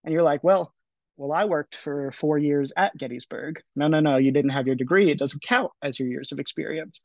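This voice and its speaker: A man's voice: a little high, moderate pace, gravelly, dry recording. A man in his twenties speaking casually on a panel over a video call, with frequent fillers and restarts. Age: 20s. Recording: dry, dull lo-fi audio.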